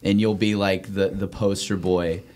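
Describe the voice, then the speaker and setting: A man's voice: sits low, flat delivery, measured pace, a little mellow. A man hosting a podcast in casual, informal chat, bantering with the others. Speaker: podcast host.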